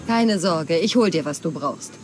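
A woman's voice: low-pitched, lively intonation, measured pace. An actress playing a self-assured, dryly teasing female mercenary in a dramatic German game dub, speaking with confidence. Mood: cool, confident. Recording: clear ambient noise.

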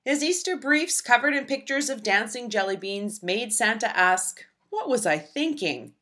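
A woman reading a children's storybook aloud with expressive, dramatic emphasis. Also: fairly high pitch. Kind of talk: expressive scripted read-aloud narration for young children.

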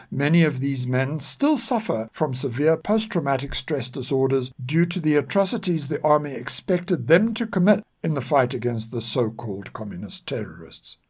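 An older man with a South African accent reads scripted narration in a measured, formal manner. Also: on the high side, husky. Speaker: podcast narrator.

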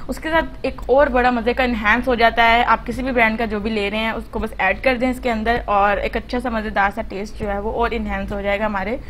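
A woman talks through a home recipe in a chatty, instructive voice-over, dropping in plenty of fillers as she goes. Her voice sits a little high. A faint hum runs under the recording. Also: steady tone, a little fast, few pauses, a bit bright, rough recording. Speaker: cooking channel host. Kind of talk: voice-over cooking tutorial.

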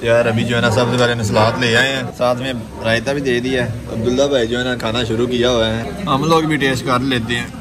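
A man's voice: medium pitch, little pitch movement, a little fast, few pauses, over a noisy crowd. A young man from Pakistan with a Punjabi accent, chatting casually to camera in an informal way peppered with filler phrases. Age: young adult. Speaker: vlogger. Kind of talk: casual vlog chat to camera. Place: mostly inside a car, also outdoors and indoors. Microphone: phone at arm's length. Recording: clearly noisy phone recording with vehicle sounds.

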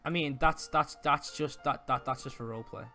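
A man in improvised gaming roleplay chat, speaking haltingly and repeating words as he goes. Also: a little high, bright, forceful tone. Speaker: roleplay player. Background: music.

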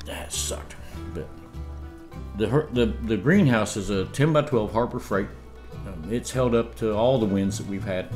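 Man, 50s, homestead vlogger, low voice, loose rambling chat, room camera, soft music bed. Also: a little slow. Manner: rambling storyteller with laughs and restarts. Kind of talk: casual chat. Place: home dining room, indoors. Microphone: camera across the table.

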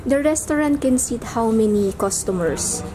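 A woman's voice: on the high side, animated, moderate pace. A woman asking questions in a casual on-location interview. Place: indoors, restaurant. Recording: clear restaurant crowd noise. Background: restaurant crowd.

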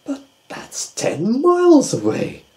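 A kindly, fussy old doctor from a storybook, as a man puts him on. His voice rides well above the reader's own and exclaims with bright alarm. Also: on the high side, very animated, measured pace, voice pushed up. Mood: puzzled.

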